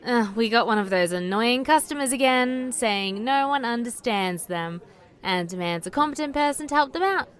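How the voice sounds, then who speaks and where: A woman's voice: a little high, animated, moderate pace, fairly bright tone. A woman playing a bubbly, casual young call-centre coworker in a comedic audio drama, chirpy and dramatic.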